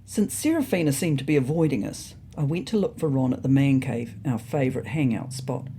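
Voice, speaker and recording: A narrator reading a scripted first-person animal story in an expressive, wryly dramatic way. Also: mid-range voice, a little fast, slight background noise.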